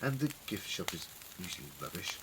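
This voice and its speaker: A man's voice: deep voice, sing-song, fairly quick, noisy background. A man acting in a fan audio drama as an eccentric, clever Time Lord adventurer, musing, wordy and wryly witty. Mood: wry, explaining.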